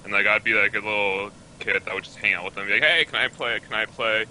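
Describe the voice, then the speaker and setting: A man's voice: on the low side, quick pace, bright, forceful tone, slight background noise, low-quality recording. A young man from Canada, a guest player, talking in a laid-back way with many fillers about how he got into gaming. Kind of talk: casual interview chat.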